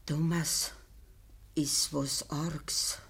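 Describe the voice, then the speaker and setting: An actress playing a gentle, ailing old farmer's wife in a radio play, speaking Bavarian dialect with a weak, resigned manner. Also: female voice, sits low, little pitch movement, gravelly, breathy edge, full character voice. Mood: anxious, consoling. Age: older. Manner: slow, plain rural dialect speech. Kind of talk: naturalistic radio drama acting.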